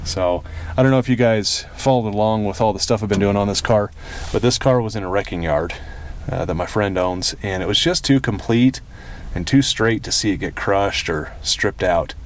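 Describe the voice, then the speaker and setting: Low-voiced man, distant mic, noisy background. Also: normal speed. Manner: informal, plainspoken, opinionated. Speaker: host of a car restoration video. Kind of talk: casual hands-on vlog commentary while working. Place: in or at a car being worked on.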